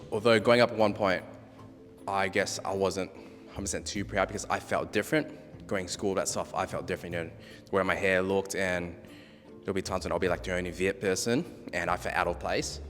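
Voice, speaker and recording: Very deep-voiced reflective man. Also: fairly even intonation, a little fast, fairly bright tone. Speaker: panel guest. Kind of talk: conversational panel discussion. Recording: long reverb, faint background music.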